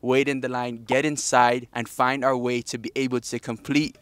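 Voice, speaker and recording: A man's voice: medium pitch, level tone, normal speed, clear, resonant tone, no echo. A man narrating a soccer travel vlog, upbeat and talking straight to his viewers. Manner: upbeat, addresses viewers as guys. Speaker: soccer vlogger. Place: outdoors and inside a large stadium.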